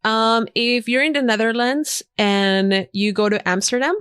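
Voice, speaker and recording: A woman's voice: on the high side, a little slow, a bit bright, smooth, no room sound, clean studio sound. A woman whose first language is Spanish, a podcast guest chatting casually and eagerly recommending things with emphatic repeats. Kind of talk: casual interview chat. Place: podcast studio.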